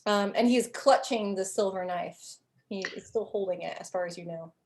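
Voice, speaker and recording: A woman's voice: mid-range voice, normal speed. A young adult woman narrating as game master in a casual way, full of "like" and "um" fillers.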